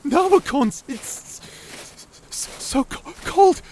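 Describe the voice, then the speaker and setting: A man's voice: very high-pitched, lively intonation, slow, a little mellow, a little hiss or hum, exaggerated voice. A man playing a shy, nerdy young wizard who rambles anxiously, stammering and apologizing in a fantasy audio roleplay. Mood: shivering, whiny.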